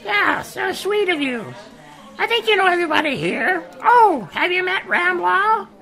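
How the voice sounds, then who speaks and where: Actress as a bright, high, gushing hostess. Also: female voice, sing-song, measured pace, vocal fry, some chatter behind, heavily acted. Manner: gushing, effusive. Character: gushing society hostess woman. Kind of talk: 1930s radio drama reenactment.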